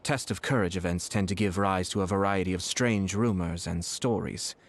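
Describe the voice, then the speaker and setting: A man's voice: low-pitched, moderate pace. An actor playing a courteous, composed male shrine event official in an anime game dub, speaking in a polite, formal way.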